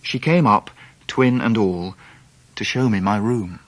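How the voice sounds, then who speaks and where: An actor playing a grown man recalling his boyhood, narrating a literary radio drama in a measured British voice. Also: fairly low pitch, no echo, close to an everyday voice. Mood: reflective. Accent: British English. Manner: measured, reflective.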